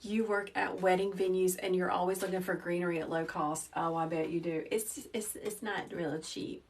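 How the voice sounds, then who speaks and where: Calm-voiced woman hosting a craft livestream. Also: on the low side, normal speed. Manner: calm, soft teacherly voice, chatty with live viewers. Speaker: wreath-making livestream host. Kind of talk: casual live craft tutorial. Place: small indoor craft workroom. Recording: slightly distant mic with faint noise.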